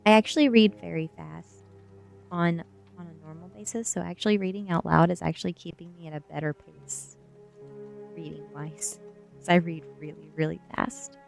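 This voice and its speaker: A woman streamer with a soft, gentle delivery, reading a book aloud in an ASMR style and chatting casually to viewers. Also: fairly low pitch, fairly even intonation, moderate pace, creaky. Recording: quiet music behind the voice. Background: faint background music.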